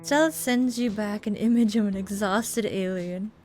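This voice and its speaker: A woman gives chatty, expressive commentary on a game playthrough and reads its text aloud in a casual way. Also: medium pitch, normal speed, soft background music. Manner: chatty, expressive reactions and asides. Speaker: video game streamer.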